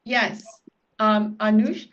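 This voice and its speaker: A woman hosting a live online quiz over a video call, chatty and relaxed with plenty of fillers. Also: medium pitch, slow pace. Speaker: quiz host. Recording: dull lo-fi video call audio.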